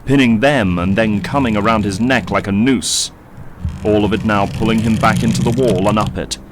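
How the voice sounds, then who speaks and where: A man's voice: fairly low pitch, moderate pace, noisy background. A man reading dramatic scripted narration for an audio drama. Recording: loud music under the voice. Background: loud music.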